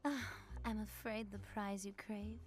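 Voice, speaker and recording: An actress playing a sultry, sly casino villainess in a video game, with a teasing manner. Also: female voice, mid-range voice, level tone, measured pace, over music, natural voice. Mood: smug. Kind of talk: video game cutscene acting.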